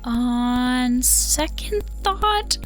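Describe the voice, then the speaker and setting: A woman playing a level-headed space station commander in an audio drama, speaking in a firm, brisk, no-nonsense way. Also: high-pitched, animated, faint music behind, heavily acted. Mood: steadying.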